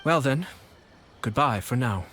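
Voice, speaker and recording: A man voicing a composed, calculating young nobleman in a video game, with a measured, refined and quietly confident delivery. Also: mid-range voice, expressive, a little hiss or hum. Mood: calm, assured.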